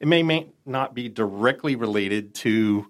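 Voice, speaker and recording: A man's voice: mid-range voice, measured pace. A middle-aged man with a nasal Chicago accent, chatting as an interview guest, rambling with folksy asides and frequent self-corrections. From Minot, North Dakota. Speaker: guest, nonprofit entrepreneur advisor. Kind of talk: conversational interview.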